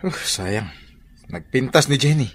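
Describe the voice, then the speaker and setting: An actor with an Ilocano accent playing an inquisitive young buddy in a radio drama. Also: male voice, medium pitch, animated, unhurried, a bit bright, breathy edge. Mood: curious.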